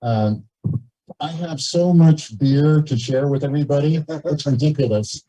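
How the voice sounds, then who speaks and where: A man making informal remarks and questions at a community meeting, speaking haltingly and repeating words. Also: dark tone. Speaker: cabin owner speaking from the floor at a meeting. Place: community meeting, captured on a video call. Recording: muffled low-fidelity meeting audio over video call.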